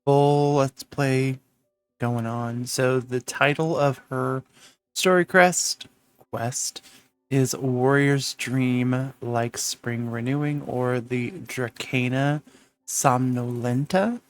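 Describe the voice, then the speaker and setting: A man calmly commenting on his own video game play for his channel, with an average pitch. He talks slowly, taking his time over each step, and explains where to go next. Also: smooth, no room sound. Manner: slow, explanatory. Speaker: gaming channel host.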